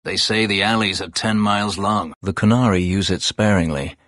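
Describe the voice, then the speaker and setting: An actor playing a proud, bitter former slave warrior in a video game, speaking tersely and formally in a husky, gravelly voice. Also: male voice, fairly low pitch, fairly even intonation, moderate pace, a bit bright, no room sound, clean studio sound, natural voice. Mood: somber, guarded. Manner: terse, formal, brooding delivery. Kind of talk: video game character dialogue.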